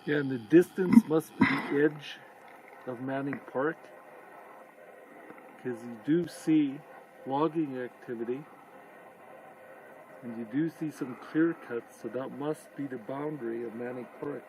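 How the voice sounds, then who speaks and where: An easygoing man in his sixties chats beside a friend on a mountain trail, his voice sitting at a plain middle pitch. He often trails off mid-sentence and picks the thought back up, with faint outdoor noise in the background. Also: a little slow, long pauses, darkish tone, rough recording. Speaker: hiking companion. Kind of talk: casual outdoor chat.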